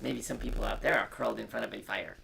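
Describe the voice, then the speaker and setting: A storytime host reading a picture book aloud in a hushed way that drops into whispers, and chatting casually. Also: medium pitch, steady tone, quick pace, vocal fry, slight background noise, poor audio.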